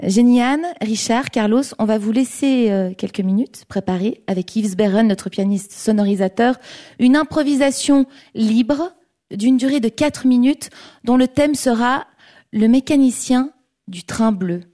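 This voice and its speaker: Lively woman presenter. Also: fairly high pitch, measured pace. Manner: lively presenter, quotes and introductions. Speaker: host. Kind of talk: hosting a studio show.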